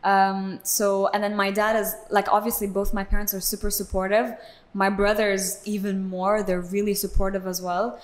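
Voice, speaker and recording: A woman's voice: mid-range voice, moderate pace. A woman of twenty from Belgium, a singer chatting casually as a guest in an interview, leaning on "like" as filler. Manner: relaxed, chatty, leans on "like" as filler.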